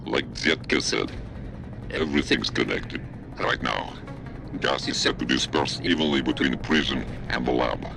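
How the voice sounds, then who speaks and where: A shadowy game hacker. He is cool and calculating, laying things out in measured, cryptic, blunt phrases over prominent music. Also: big pitch swings, heavily acted. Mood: grave, knowing. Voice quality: very deep, gravelly. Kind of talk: video game dramatic acting. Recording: music behind the voice.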